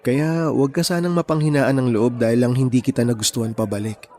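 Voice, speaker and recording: Actor as gentle, principled young man, radio drama. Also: medium pitch, fairly even intonation, a little fast, natural voice. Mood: gentle, earnest. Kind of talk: Tagalog radio romance drama acting.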